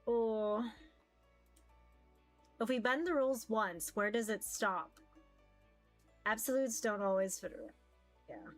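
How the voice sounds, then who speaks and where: A woman's voice: fairly high pitch, slow pace, pauses often, poor audio. Woman streamer narrating over game music. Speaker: streamer playing and reading a visual novel. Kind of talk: read-aloud narration with casual game commentary.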